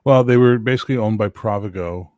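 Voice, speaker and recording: A man in casual chat, swearing freely and ribbing his friends with loud jokes. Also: on the low side, fast talker, no room sound, clean studio sound. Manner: profane, loud joking banter.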